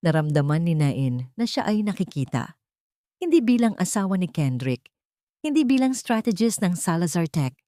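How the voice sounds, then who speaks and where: A woman narrating a scripted dramatic love story in a measured, emotive way. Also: on the low side, mellow, no echo, clean studio sound.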